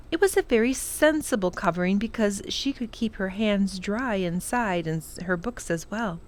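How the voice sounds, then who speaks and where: Female storytime reader, unhurried delivery, medium pitch, expressive narration for children. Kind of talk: reading a children's novel aloud.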